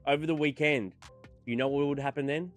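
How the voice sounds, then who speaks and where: A man's voice: fairly high pitch, fairly even intonation, fairly quick, faint music behind. A man hosting a talk show in an informal, teasing, conversational way. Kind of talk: casual chat.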